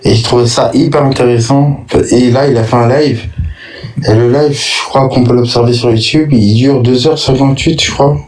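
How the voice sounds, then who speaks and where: A man's voice: mid-range voice, fairly even intonation, normal speed, a little mellow, far from the mic, rough recording. A man calling into a live stream, speaking in a casual, friendly way with lots of hesitation. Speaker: caller. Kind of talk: casual chat.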